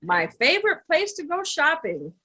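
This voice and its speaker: A woman's voice: high-pitched, huge pitch swings, normal speed, bright, forceful tone. A woman hosting a children's show, interviewing and speaking directly to young listeners.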